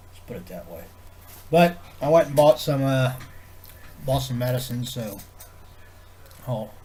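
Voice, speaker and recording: A man with a stuffed-up head cold talks on, his voice nasal and congested. His pitch sits mid-range for a man. He rambles in a low-key, easygoing way and swears casually. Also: warm tone, a little hiss or hum, low-quality recording. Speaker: vlogger. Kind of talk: rambling casual chat to camera.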